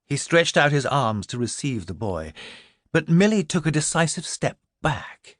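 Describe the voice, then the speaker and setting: A man's voice: on the high side, big pitch swings, unhurried, fairly bright tone, dry recording, clean studio sound. A man reading a story as an audiobook narrator, in dramatic scripted narration.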